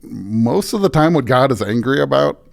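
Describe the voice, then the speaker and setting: A man's voice: mid-range voice, lively intonation, moderate pace, gravelly. A man speaking as a pastor in a thoughtful interview, earnest and reflective, often repeating phrases for emphasis. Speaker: pastor and podcast guest.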